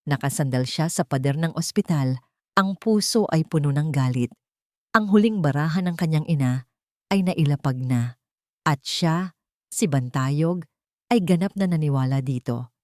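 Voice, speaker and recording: A woman's voice: low voice, expressive, normal speed, a little mellow, dry recording, studio quality. A woman reading a scripted dramatic story as its narrator.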